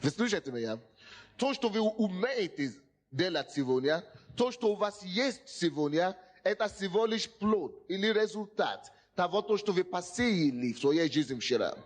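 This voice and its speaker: A man preaches to a gathered congregation in a high, bright voice that swings widely up and down. His exhortation is repetitive and direct, in slightly broken non-native phrasing, and he keeps asking his listeners whether they hear him. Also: expressive, normal speed. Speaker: pastor. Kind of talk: sermon. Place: in front of a congregation.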